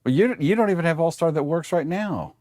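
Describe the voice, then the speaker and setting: A man's voice: a little high, animated, brisk, darkish tone, no echo. A man guesting on a livestream, explaining tech gear in a matter-of-fact, informal way. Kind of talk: casual explanatory tech chat.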